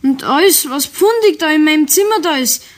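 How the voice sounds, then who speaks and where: A high-voiced Bavarian schoolboy, eager and a little stubborn. The lines are played in a natural, playful children's radio drama manner, with dialect throughout. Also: female voice, animated, a little fast. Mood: reluctant, downcast. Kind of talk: German children's radio play acting.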